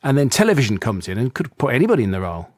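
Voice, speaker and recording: A man's voice: mid-range voice, huge pitch swings, rapid-fire, dry recording, studio quality. A male radio presenter interviewing a guest, asking curious, conversational questions.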